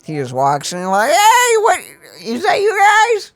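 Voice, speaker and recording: A woman's voice: extremely high pitch, huge pitch swings, unhurried. A woman co-hosting a game stream with casual, joking, informal banter. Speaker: video game streaming co-host. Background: game music.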